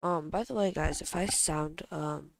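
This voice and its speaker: A young amateur streamer chatting casually and haltingly, sounding stuffy from allergies. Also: medium pitch, fairly even intonation, gravelly, dry recording. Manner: halting, hesitant. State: congested from allergies. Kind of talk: casual unscripted stream chat.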